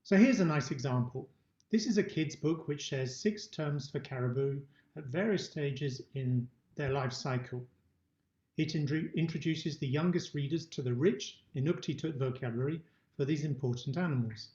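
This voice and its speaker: Middle-aged man, instructional presenter, soft-edged warm tone, muffled roomy recording. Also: on the high side, moderate pace. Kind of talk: prepared instructional webinar presentation. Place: indoor room. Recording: dull, roomy webcam-style recording.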